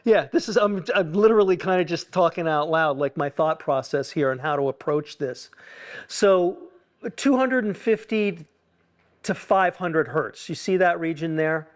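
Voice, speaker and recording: Male, higher-pitched voice, informal workshop leader, halting, self-questioning, explains as he goes. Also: animated. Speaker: acoustics consultant leading a tuning workshop. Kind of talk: informal live technical demonstration, thinking out loud.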